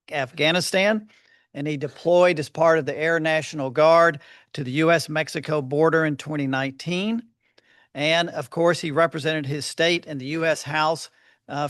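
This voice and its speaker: A soft-spoken man giving a measured, formal introduction at a luncheon podium, with frequent fillers. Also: fairly high pitch, no echo, studio quality. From Fort Worth, Texas. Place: luncheon hall.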